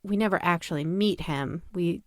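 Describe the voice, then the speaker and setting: A woman's voice: fairly low pitch, normal speed, no echo, clean studio sound. A woman co-hosting a podcast in casual, analytical discussion. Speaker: podcast co-host.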